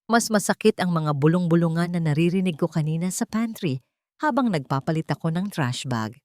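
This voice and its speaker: Woman narrator, low voice, sweeping intonation, emotive dramatic storytelling, theatrical first-person delivery. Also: expressive, brisk, darkish tone, no echo, clean studio sound.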